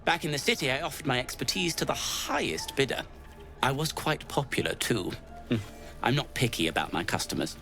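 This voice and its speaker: A curmudgeonly gnome adventurer for a video game, bright and forceful. His delivery is wry and theatrical, swinging up and down with dramatic pauses, over faint music. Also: mid-range voice, sing-song. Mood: proud, wry. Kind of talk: video game character acting.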